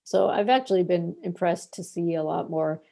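A woman's voice: lowish voice, normal speed, creaky, dark tone. A young woman from Bangladesh, a researcher, answering interview questions in a rambling way full of fillers and restarts.

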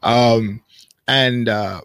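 A man in his thirties, a guest in a casual interview, speaking thoughtfully with many pauses and fillers. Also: medium pitch, steady tone, fairly bright tone, clean tone, no echo, studio quality.

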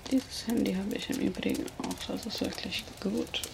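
A woman voicing a teasing, predatory female vampire kidnapper in an ASMR roleplay, whispering softly and flirting close to the mic. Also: medium pitch, fairly even intonation, moderate pace, gravelly, background noise, lightly acted. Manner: soft whispering, playful and flirtatious. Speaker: ASMR creator.

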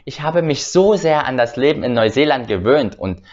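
A man's voice: mid-range voice, animated, moderate pace, darkish tone. Young German man telling a travel story. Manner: hesitant, many "äh" fillers and restarts. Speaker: channel host. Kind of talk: casual personal storytelling. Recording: slightly dull lo-fi sound.

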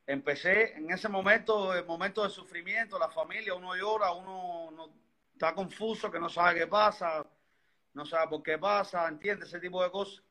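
A man in his thirties with a Cuban accent tells his own story in a high, bright voice. He talks earnestly, circling back and restarting his sentences often. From Cuba. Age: 30s. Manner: earnest, rambling, many restarts. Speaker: interview guest. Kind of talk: personal story in conversation.

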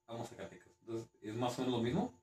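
Hombre mexicano bromista, sala con eco. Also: male voice, medium pitch, fast talker, a little mellow, roomy sound, slight background noise. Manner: joking, nervous, laughs often. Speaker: host. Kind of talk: casual interview chat.

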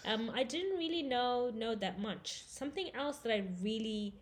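A woman in her thirties from Zimbabwe, talking in a relaxed, reflective way on a casual podcast. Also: a little high, moderate pace. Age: 30s. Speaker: podcast guest.

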